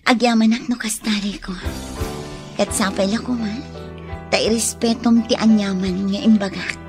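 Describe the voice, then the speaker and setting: A woman's voice: medium pitch, fairly even intonation, normal speed, music in the background, natural voice. An actress playing an emotional young woman in love in a radio drama, with an Ilocano accent. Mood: tender, uncertain. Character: young woman in love, wary after heartbreak.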